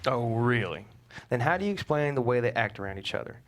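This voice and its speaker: Withdrawn sullen father, read by an actor, mid-pitched, dry delivery. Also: lively intonation, fast talker, fairly bright tone, lightly acted. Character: glum withdrawn middle-aged father Bert.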